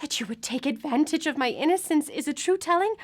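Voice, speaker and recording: A newborn artificial being in a radio play. The voice is high and female, speaking in earnest, formal phrases with a wounded, defiant temper. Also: animated, brisk. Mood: defiant, angry. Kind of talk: radio drama acting.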